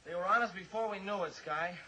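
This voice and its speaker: An apologetic young male captive from an old radio serial. His voice is high, tense and husky, full of anxiety. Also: quick pace, sharp tone, full character voice, low-quality recording. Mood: distressed apology. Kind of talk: old-time radio drama acting.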